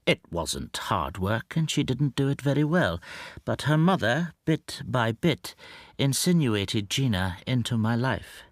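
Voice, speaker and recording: An actor in a radio drama playing a meek, anxious middle-aged bachelor, speaking hesitantly and confidingly. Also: male voice, mid-range voice, very animated, a little slow, no room sound. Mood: subdued, confiding. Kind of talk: radio drama acting with intimate first-person narration.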